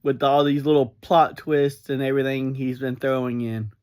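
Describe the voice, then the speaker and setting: A man giving a casual fan review as a solo podcast reviewer, speaking in halting, broken phrases. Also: mid-range voice, little pitch movement, a little mellow, clean tone.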